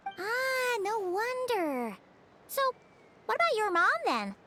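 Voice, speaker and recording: A woman voicing a tiny, chirpy non-human companion in a game, bubbly and excitable, over clearly heard music. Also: very high-pitched, takes it slowly, exaggerated voice. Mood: chatty. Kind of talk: game voice acting. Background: game score music.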